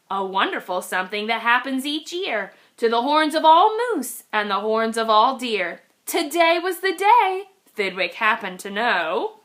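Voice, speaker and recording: Female storybook reader, high voice, very animated and dramatic, slow deliberate delivery for young children. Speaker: children's read-aloud reader. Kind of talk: expressive storybook read-aloud.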